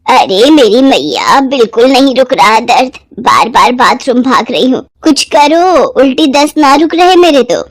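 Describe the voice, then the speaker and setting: A woman's voice: high voice, big pitch swings, measured pace, hardly any pauses, heavily acted. A woman voicing a strict, orthodox elderly mother-in-law who scolds and orders people about in a moral-story drama. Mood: complaining. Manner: scolding, commanding.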